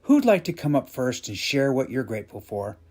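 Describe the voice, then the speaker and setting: A man's voice: mid-range voice, sing-song, moderate pace, a little mellow. A man voicing a kind, patient female primary school teacher in a storybook reading, encouraging the pupils.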